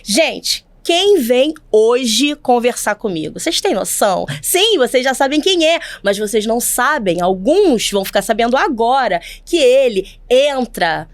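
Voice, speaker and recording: A woman hosting a podcast from a studio, speaking with bright enthusiasm and exclamations. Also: a little high, very animated, unhurried, few pauses, dry recording. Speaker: podcast host. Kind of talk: podcast interview. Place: studio in Rio de Janeiro.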